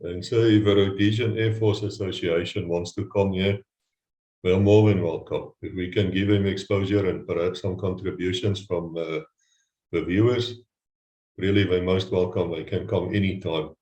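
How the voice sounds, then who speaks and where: A man's voice: low voice, steady tone, normal speed, roomy sound, highs cut off. A man hosting an interview, speaking earnestly and thanking his guest. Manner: earnest, warm praise of guest. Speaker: host. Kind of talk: casual interview talk.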